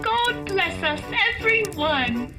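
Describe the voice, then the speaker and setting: A woman's voice: very high voice, very animated, slow, loud music behind the voice, exaggerated voice. A woman voicing an eager, comic hanger-on in a holiday radio play. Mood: festive.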